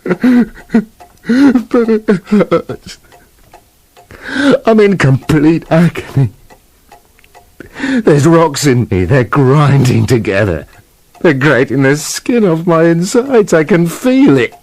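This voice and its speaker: A man's voice: a little high, sing-song, slow, long pauses, darkish tone, exaggerated voice. An actor playing a talking wolf in a radio play, a plain-speaking, aggrieved family man who questions and argues colloquially. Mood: pained, aggrieved.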